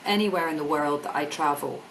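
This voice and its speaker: A woman's voice: low voice, a little fast, background noise. A Scottish woman in a podcast interview, speaking earnestly and enthusiastically, repeating words as she thinks. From Scotland. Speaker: guest, energy business director.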